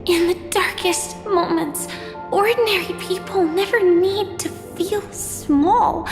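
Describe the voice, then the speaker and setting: Very high-voiced, resolute young heroine. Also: female voice, slow pace, exaggerated voice. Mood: calm, resolute. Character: gentle but resolute young woman warrior, quietly hopeful. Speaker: voice actor in a game cutscene. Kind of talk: scripted dramatic game dialogue. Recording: long reverb under clear music.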